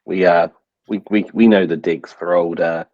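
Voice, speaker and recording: A man's voice: low-pitched, steady tone, moderate pace, dull audio. A man playing an eager, devout young squire in a tabletop game, earnest and repeating himself.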